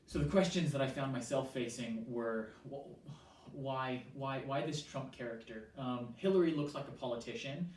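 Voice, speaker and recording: A young American man whose accent has picked up a New Zealand tinge answers interview questions at an unhurried pace, filling his pauses with ums. He sits back from the mic in an echoey room with a faint hiss. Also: medium pitch, darkish tone, distant mic. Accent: American, softened toward New Zealand. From Southern California. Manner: measured, frequent ums, quotes others with animation. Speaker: interviewee, church worker.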